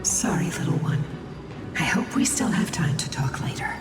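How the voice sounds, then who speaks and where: A woman playing a weary, gentle guide spirit with a breathy half-whisper in a solemn video game. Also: fairly low pitch, big pitch swings, moderate pace. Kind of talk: hushed video game voice acting. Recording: distant echoing hall under loud music.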